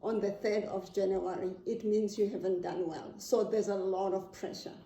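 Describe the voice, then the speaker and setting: A woman from South Africa with a South African accent, describing her experiences in an animated way as an interview guest. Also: mid-range voice, normal speed, echoey room. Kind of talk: conversational interview answers.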